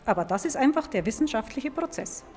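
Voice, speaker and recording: A woman's voice: on the high side, big pitch swings, fairly quick, a little mellow. A woman speaking as a museum expert, explaining things to young listeners in a didactic way. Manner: clear, didactic phrasing. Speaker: museum paleontologist, expert guest. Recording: echoing hall, music behind.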